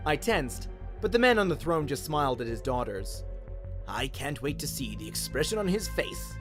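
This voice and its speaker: Expressive Australian man narrating, lively pitch. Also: moderate pace, soft background music. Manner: expressive storytelling. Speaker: audiobook narrator. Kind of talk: dramatic scripted narration, radio-play audiobook.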